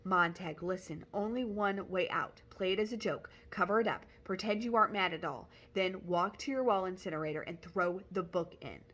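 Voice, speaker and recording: A woman voicing an anxious older man who urgently pleads and gives hushed instructions. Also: lowish voice, moderate pace, few pauses. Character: anxious older man, a mentor, whispering in an earpiece.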